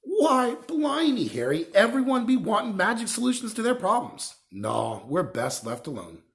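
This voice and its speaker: A man voicing a giant, gruff but good-natured storybook man with a blunt, rustic, exclamatory way of talking. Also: high voice, big pitch swings, normal speed, a bit bright, voice pushed up, full character voice. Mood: exclaiming, jovial. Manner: folksy, exclamatory, drops words.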